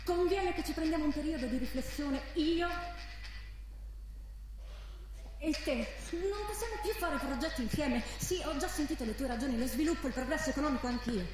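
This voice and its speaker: Actress as exasperated woman, high pitch, agitated repetitive stage monologue, heavily reverberant space. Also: quick pace, hardly any pauses, darkish tone, natural voice. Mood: exasperated, pleading. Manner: agitated, repetitive, stammering over words. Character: exasperated woman breaking up with an oppressive petrochemical plant. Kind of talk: theatrical monologue, live radio theatre. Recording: very echoey stage recording with music. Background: live music.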